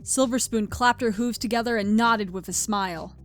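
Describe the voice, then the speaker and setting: A woman's voice: a little high, normal speed, soft background music. A woman reading scripted storybook narration as the narrator of a fan fiction tale.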